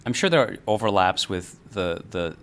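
A man's voice: deep voice, lively intonation, normal speed, vocal fry, fairly bright tone, clean tone. A man hosting an interview, asking casual questions and affirming often. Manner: affirming, many yeahs and fillers. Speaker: podcast host.

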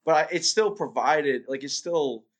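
A man's voice: a little high, animated, measured pace. A young man from Russia, a guest chatting casually in an interview, rambling with lots of fillers and restarts.

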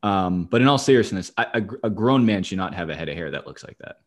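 A man's voice: low-pitched, expressive, quick pace. A man co-hosting a sports podcast in casual banter, with a dry, wry, profane way of talking.

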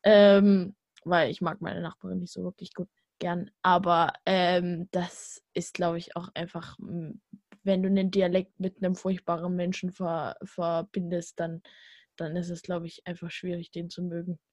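A woman's voice: fairly low pitch, flat delivery, normal speed, a little mellow, no room sound. A young woman from the Vogtland with a light regional accent, chatting casually and enthusiastically, often restarting sentences. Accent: Vogtland Saxon. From Vogtland, Saxony. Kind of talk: casual chat.

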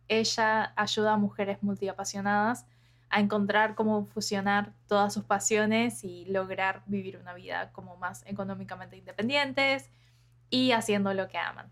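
A woman with an average-pitched voice hosts an interview podcast. Her delivery is conversational, effusive and enthusiastic.

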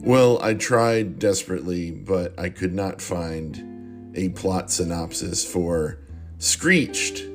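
A man's voice: low-pitched, fairly even intonation, slow pace, over music. A middle-aged man hosting a podcast, losing his voice, rambling through a joking, self-mocking sign-off with frequent laughs. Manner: jokey, laughs often, self-deprecating. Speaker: podcast host. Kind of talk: casual rambling podcast outro.

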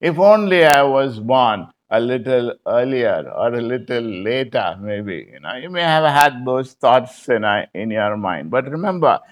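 Man preaching with repeated phrases. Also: mid-range voice. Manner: repeats key phrases for emphasis; conversational, many "you know" fillers. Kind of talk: bible study sermon.

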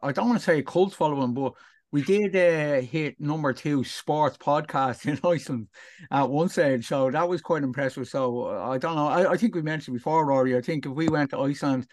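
A man co-hosts a casual podcast, making joking, self-mocking asides. His voice sits a little high for a man, and the recording is dry, with no room sound. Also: brisk, dry recording. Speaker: podcast co-host.

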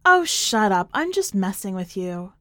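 A woman's voice: medium pitch, big pitch swings, a little slow, a bit bright, dry recording. A woman voicing a tired, bitter and defiant middle-aged woman in an audio story. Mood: bitter, needling.

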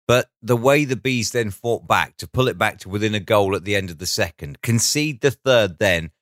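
A man with a slightly low voice speaks as a sports podcast pundit. His analysis is conversational, with measured and emphatic phrasing, and the sound is close and dry. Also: expressive, a bit bright, dry recording.

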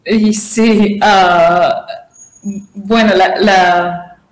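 A woman guest answers interview questions haltingly, filling her pauses with "ah" and starting her phrases over. Spanish is not her first language, and her voice rings in an echoey room. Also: mid-range voice, steady tone. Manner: halting, many fillers and restarts. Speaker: interview guest, conference organizer.

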